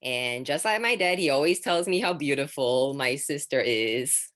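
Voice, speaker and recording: A young woman from Singapore performing a stand-up set, deadpan delivery with sudden high-pitched exclamations. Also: moderate pace. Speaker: stand-up comedian.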